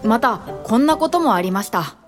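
A woman's voice: a little high, lively intonation, brisk, over music, natural voice. A woman playing a respectful, admiring young assistant who narrates and acts in a radio drama. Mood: admiring, reflective. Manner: polite, respectful.

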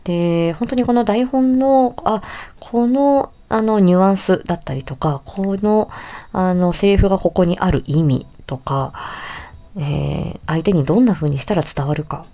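A woman with a low, mellow voice gives a casual solo talk as a voice actor. She speaks politely and reflectively, as if chatting with listeners. The tone is dark and relaxed. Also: unhurried. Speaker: voice actor presenting own work.

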